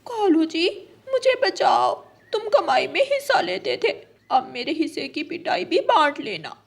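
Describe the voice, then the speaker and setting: Woman voicing a very shrill, sly cartoon crow. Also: sing-song, unhurried, creaky, full character voice. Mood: panicked. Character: sly, cowardly, pleading female crow fake doctor.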